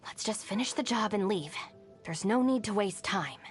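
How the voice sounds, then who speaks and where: A woman voicing a calm, practical young gang deputy in a video game, composed and matter-of-fact. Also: medium pitch, moderate pace, faint music behind, close to an everyday voice. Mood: firm, practical. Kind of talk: video game character voice lines.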